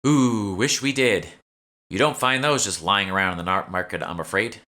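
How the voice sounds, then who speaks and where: A man's voice: on the low side, moderate pace, sharp tone. A man reading an audiobook, voicing a chatty, eager young female shopkeeper who is polite and salesy. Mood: emphatic. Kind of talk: audiobook character dialogue.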